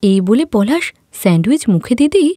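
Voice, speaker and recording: A woman's voice: a little high, sing-song, fairly quick, warm tone, no echo, studio quality. A woman narrating a scripted story as its storyteller.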